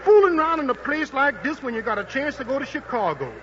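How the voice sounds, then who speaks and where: Wheedling American boxing manager, 1940s radio style. Animated, insistent patter, noisy vintage recording. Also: male voice, very high voice, fast talker, lo-fi sound, exaggerated voice. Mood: pushy, persuasive. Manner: slangy, coaxing, insistent. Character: fast-talking, pushy, wheedling boxing manager.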